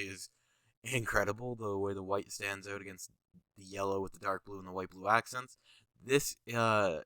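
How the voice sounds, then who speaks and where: A young man with a stuffy, congested voice wanders through casual commentary full of fillers and tangents. His tone is bright and a little tense, pitched slightly low, and the recording is close and dry. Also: no room sound. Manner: rambling, lots of fillers, goes on tangents. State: stuffy nose, sniffling, tired. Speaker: channel host reviewing his own jersey designs. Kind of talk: casual commentary over design images.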